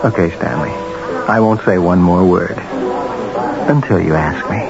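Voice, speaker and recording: An American actor playing a self-assured, calculating friend, coaxing and deliberate, in a radio drama. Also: male voice, deep voice. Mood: calmly sinister. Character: smooth, calculating old friend, calmly tempting toward murder. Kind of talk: 1970s radio drama acting. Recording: muffled old radio broadcast, music underneath.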